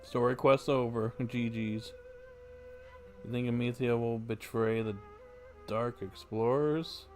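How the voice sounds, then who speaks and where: A man's voice: medium pitch, steady tone, takes it slowly, pauses often, darkish tone, soft background music. A man livestreaming a video game, chatting casually in a laid-back, musing way. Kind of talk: casual chat while streaming a game.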